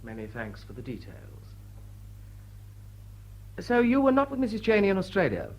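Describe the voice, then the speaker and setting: An actor playing a dashing, sardonic young lord in period drama, breezy, teasing and probing. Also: male voice, sits high, wildly swooping pitch, normal speed, highs cut off. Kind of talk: period stage comedy radio drama.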